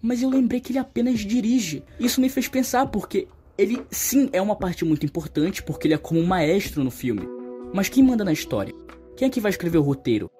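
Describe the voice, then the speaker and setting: A man's voice: high-pitched, animated, brisk, warm tone, soft background music. A man with a Brazilian accent delivering scripted opinion commentary as a video essayist, earnest and emphatic, often posing rhetorical questions.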